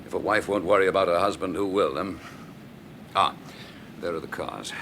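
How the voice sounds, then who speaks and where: An actor playing a wry, confident police inspector in a radio drama, with a breezy, clipped air of authority. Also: male voice, sits low, moderate pace, vocal fry, a bit bright. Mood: affectionate, authoritative. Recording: noisy old radio drama recording.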